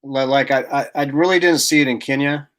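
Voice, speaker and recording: Male host, mid pitch, friendly, stumbles and restarts. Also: steady tone, fairly quick, a bit bright. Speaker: interview host. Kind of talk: live online interview.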